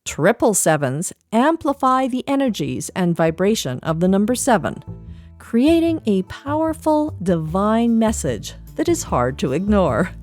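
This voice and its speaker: A woman's voice: medium pitch, big pitch swings, measured pace, a little mellow, soft background music. A middle-aged woman presenting a scripted podcast segment, narrating with wry asides.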